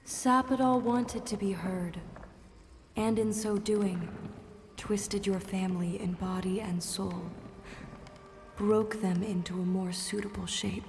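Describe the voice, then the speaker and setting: A woman's voice: medium pitch, steady tone, takes it slowly, a little mellow, faint music behind. A woman voicing an ancient, solemn plant spirit in a video game, stately, formal and portentous. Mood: grave, oracular. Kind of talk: video game dramatic dialogue. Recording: long reverb, faint background noise.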